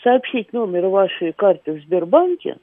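A woman in her nineties calling in by phone, telling a story briskly and with dry humor. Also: mid-range voice, very animated, no room sound. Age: 90s. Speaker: caller. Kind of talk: phone-in chat. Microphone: telephone. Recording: tinny phone line.

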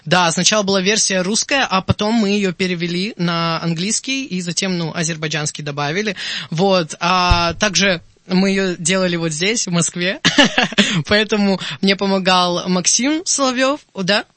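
A man's voice: high voice, moderate pace, pushed and bright, no room sound. A young singer-songwriter man from Azerbaijan, a guest on a radio show, talking in an upbeat, eager way in a studio. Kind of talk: casual studio interview. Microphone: studio microphone.

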